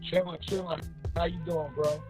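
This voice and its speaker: A man appears as a guest rapper on a video call, answering in short, easygoing replies. His voice sits a little high, sounds distant from the mic, and has faint music beneath it. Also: level tone, moderate pace, darkish tone, slightly rough. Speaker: guest rap artist. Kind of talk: casual interview chat.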